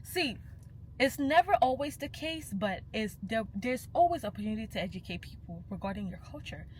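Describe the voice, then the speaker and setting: A woman's voice: fairly high pitch, expressive, moderate pace, a little hiss or hum, low-quality recording. Passionate young woman. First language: Yoruba. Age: young adult. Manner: passionate and emphatic, slipping into Yoruba phrases. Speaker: vlogger. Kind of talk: casual vlog monologue.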